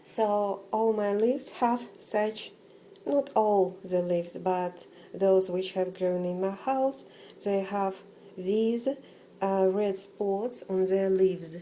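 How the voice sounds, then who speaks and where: A woman whose first language is Russian speaks slowly and haltingly, often restarting her sentences and filling gaps with "uh". Her voice is soft and mellow, with a faint hiss underneath. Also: mid-range voice, low-quality recording. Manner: hesitant, many fillers and restarts. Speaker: orchid-growing vlogger. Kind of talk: casual home vlog.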